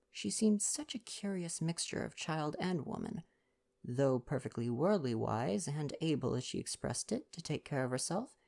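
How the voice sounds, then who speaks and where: Woman, ASMR bedtime reader, gentle, mellow, low-pitched, soft storytelling. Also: creaky. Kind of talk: soft bedtime audiobook reading with casual asides.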